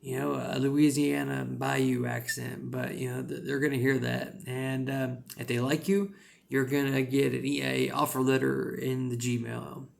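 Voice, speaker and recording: A man's voice: mid-range voice, little pitch movement, unhurried, vocal fry. A man with a rural American accent giving casual, rambling advice as an English teacher, with lots of "you know" fillers.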